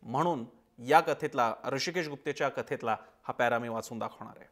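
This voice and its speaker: A man giving a dramatic, scripted literary reading with measured, expressive phrasing. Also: medium pitch.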